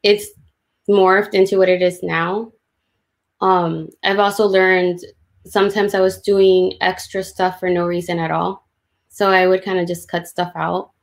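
A woman's voice: lowish voice, flat delivery, unhurried, creaky. Woman illustrator casually explaining her process. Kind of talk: casual commentary on a drawing demo. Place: indoors on a video call.

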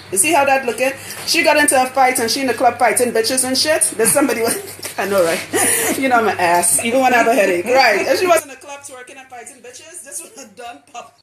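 A woman's voice: on the high side, echoey room, slight background noise, low-quality recording. A woman with a Trinidadian accent, chatting boisterously on a live stream while working as a loctician in a hair salon. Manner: boisterous, animated, laughing. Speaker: loctician host.

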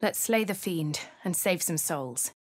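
An actress with a posh English accent voicing a composed female adventurer, reading game narration and voice lines in a crisp, dry manner. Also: fairly low pitch, expressive, measured pace, no room sound. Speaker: voice of the player character.

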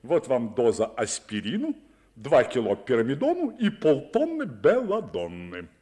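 An actor playing an absent-minded, careless ship's doctor in a children's radio play, with theatrical, sing-song delivery. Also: male voice, medium pitch, huge pitch swings, measured pace, mellow. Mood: fussy, muttering. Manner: theatrical, half-sung verse, muttering asides.

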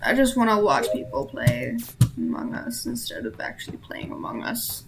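A teenage girl streaming a social VR game, chatting casually and reacting excitedly. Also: medium pitch, normal speed, music in the background, poor audio. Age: teens. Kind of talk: casual gaming chat.

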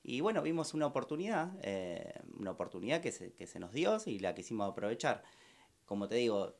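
A man's voice: mid-range voice, very animated, a little fast, creaky. A man in his thirties from Argentina with an Argentine Spanish accent, a bar owner answering interview questions in a radio studio, with many fillers and restarts. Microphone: studio microphone with headphones.

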